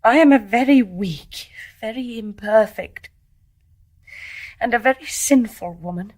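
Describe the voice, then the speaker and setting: An actress playing a young, guilt-ridden English wife in a radio drama, genteel and restrained in Received Pronunciation, tender and gently teasing but emotionally charged. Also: female voice, a little high, unhurried. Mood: anguished. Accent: British Received Pronunciation.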